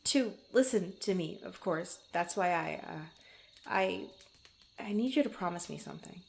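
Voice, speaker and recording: Woman acting a hesitant, creaky-voiced young adventurer. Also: lowish voice, lively intonation, slight background noise. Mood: earnest, pleading. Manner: halting, stammering, soft-spoken with breathy asides. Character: flustered young female adventurer, tender but teasing and proud. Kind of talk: intimate ASMR roleplay voice acting.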